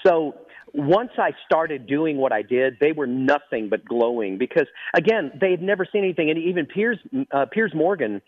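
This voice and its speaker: Middle-aged male ventriloquist guest, naturally high voice, eager storyteller, phone-line sound. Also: expressive, dry recording. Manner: eager, quick storytelling with many restarts. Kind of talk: phone interview. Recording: tinny phone line, dry.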